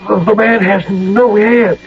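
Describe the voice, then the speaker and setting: Veteran sergeant, high voice, earnest and insistent, respectful; muffled vintage radio sound. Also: male voice, fairly even intonation, measured pace. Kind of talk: radio drama acting. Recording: muffled radio recording with hum.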